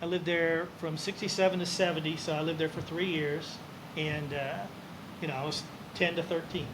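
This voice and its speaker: A man's voice: on the high side, normal speed. Older man over noisy air-con hum. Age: 60s. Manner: relaxed, self-deprecating, rambling with restarts. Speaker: interview guest. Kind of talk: casual storytelling.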